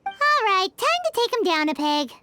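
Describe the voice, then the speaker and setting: A woman voicing a tiny, chirpy female fairy companion in a video game, with high, bouncy, childlike chatter. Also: very animated, moderate pace. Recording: game audio with music behind.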